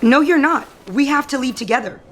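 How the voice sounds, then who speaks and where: An actress playing a defiant, scornful wife in an audio soap opera, with a sarcastic, biting delivery. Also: female voice, sits high, fairly quick, a little hiss or hum, close to an everyday voice. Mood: biting sarcasm. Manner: sarcastic, cutting.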